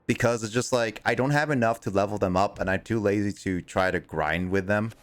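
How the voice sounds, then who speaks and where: A man's voice: lowish voice, brisk. A young man streaming a video game and chatting casually with his viewers, rambling, mocking himself and stumbling over names. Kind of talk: casual livestream chat with viewers.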